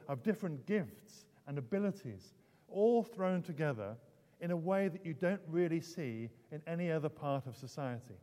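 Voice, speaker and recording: British preacher with failing voice, reverberant church. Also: male voice, fairly high pitch, mellow. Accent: British English. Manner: hesitant, self-correcting, repeats words. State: losing his voice, throat clearing. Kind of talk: sermon, conversational and explanatory. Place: large indoor church, long reverb.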